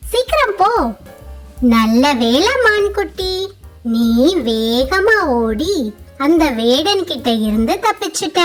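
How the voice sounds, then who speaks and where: A woman voicing a young, plaintive, self-pitying tortoise in a children's animated story. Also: sits high, exaggerated voice. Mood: alarmed, then relieved. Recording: music bed under the voice.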